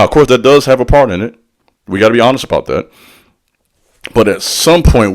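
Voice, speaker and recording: A man talking straight to his audience in a preacherly rhythm. His delivery is slangy and direct. He sprinkles in call-and-response asides as he teaches on spiritual matters. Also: medium pitch. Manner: slangy and direct, addressing the listener. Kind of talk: motivational spiritual talk.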